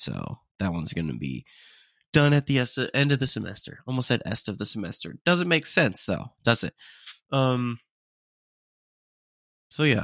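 Sniffly young man rambling, gravelly voice. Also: fairly low pitch, a bit bright, no room sound, clean studio sound. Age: young adult. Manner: rambling, self-deprecating, chatty. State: congested and sniffly. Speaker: podcast host. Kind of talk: casual solo podcast chat.

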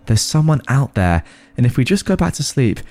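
A man's voice: medium pitch, very animated, fairly quick, a little mellow, voice pushed up. A man voicing a skeptical, self-assured boyfriend who argues dismissively in a horror story. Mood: insistent, urgent. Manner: dismissive, insistent.

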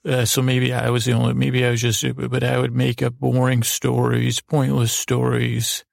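A man hosting a bedtime podcast in a meandering, halting monologue, repeating himself and pausing as he goes. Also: mid-range voice, completely flat delivery, gravelly, no room sound, studio quality. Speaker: podcast host. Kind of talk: rambling bedtime podcast monologue, meant to lull.

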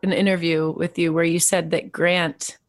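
Young woman from texas doing an interview, lowish voice, asks probing questions. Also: level tone, moderate pace, dry recording. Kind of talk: conversational interview.

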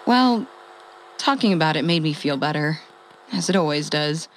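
A woman's voice: low-pitched, animated, normal speed, slight background noise, rough recording. A woman playing a wry survivor and former radio host in an audio drama, rambling, hesitant and self-deprecating as she talks. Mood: uneasy, confiding. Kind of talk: audio drama, confessional log.

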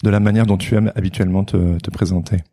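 A man hosting a podcast, reading a scripted introduction and then chatting with his guest in a friendly, measured way. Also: low-pitched, steady tone, warm tone, dry recording, studio quality. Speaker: podcast host.

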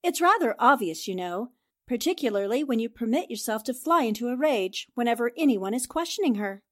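Sharp, inquisitive lady reporter, played by a woman, high-pitched, dry studio, 1940s radio drama style. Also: expressive, fairly quick, no room sound. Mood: pointed. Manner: pointed, inquisitive.

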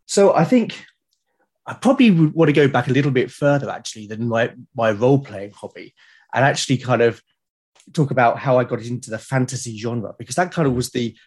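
A man's voice: mid-range voice, darkish tone, breathy edge. A man in his forties with a British accent, chatting casually as an interview guest, reflective and enthusiastic. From United Kingdom. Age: 40s. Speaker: podcast guest, illustrator.

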